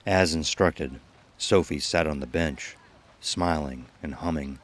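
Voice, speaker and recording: A man's voice: a bass voice, takes it slowly, dry recording. A man giving scripted narration as the narrator of an audio horror drama. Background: music.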